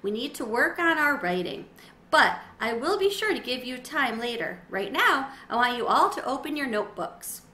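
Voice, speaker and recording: A woman's voice: high-pitched, very animated, moderate pace, bright, forceful tone, voice pushed up, exaggerated voice. A woman reading the part of a kindly, firm female schoolteacher in a storybook, encouraging and orderly. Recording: roomy echo, faint background noise.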